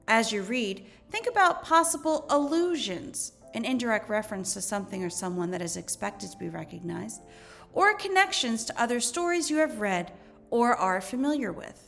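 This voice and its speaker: Female teacher, fairly high voice, measured instructional read-aloud with music behind. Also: animated, creaky, a bit bright. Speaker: teacher reading lesson aloud. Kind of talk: educational read-aloud narration.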